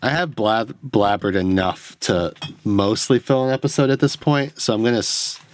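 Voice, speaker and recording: A man's voice: fairly low pitch, normal speed, a little hiss or hum. A man hosting a solo film podcast, rambling and self-deprecating, swearing as he analyses a movie into a close microphone. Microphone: close studio microphone.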